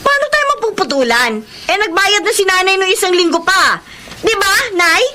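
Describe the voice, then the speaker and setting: Feisty Filipino daughter, twenties, high and very animated, outspoken, emotional radio-drama delivery. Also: female voice, moderate pace, slight background noise, full character voice. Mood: alarmed, accusing. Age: 20s. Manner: sassy, interrogating, emotional. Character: feisty, hardworking 25-year-old breadwinner daughter, outspoken and exasperated.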